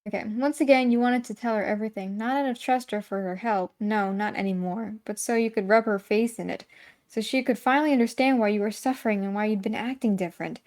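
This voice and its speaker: A woman's voice: mid-range voice, quick pace, a little mellow. A woman narrating a visual novel aloud as scripted story reading, with casual asides.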